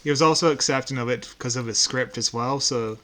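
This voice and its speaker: Bright-voiced man in casual chat. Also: medium pitch, brisk. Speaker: podcast co-host.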